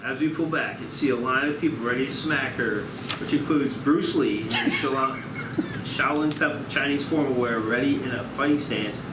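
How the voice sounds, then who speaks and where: Male narrator, mid-range voice, screenplay table read, echoey, background noise. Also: mid-range voice. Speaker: stage-direction reader. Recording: echoey noisy room, far from mic.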